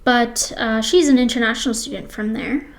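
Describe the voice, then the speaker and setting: Casting: young female interviewer for a radio piece, voice on the higher side. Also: moderate pace. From born in Sri Lanka, grew up in Canada. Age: young adult. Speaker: interviewer and host.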